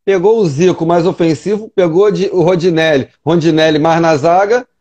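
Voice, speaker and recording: A man's voice: fairly high pitch, normal speed, darkish tone. A man hosting a casual interview, talking in a chummy, friendly way. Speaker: interview host. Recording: dull lo-fi sound.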